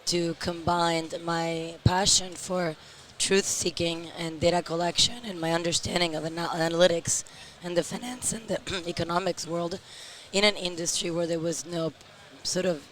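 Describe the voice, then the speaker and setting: Hoarse middle-aged woman, guest in an interview, slow and measured. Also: on the low side, fairly even intonation, a bit bright. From Europe. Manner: speaks slowly and measured. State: hoarse, tired voice. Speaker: interview guest, company founder. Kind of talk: casual interview.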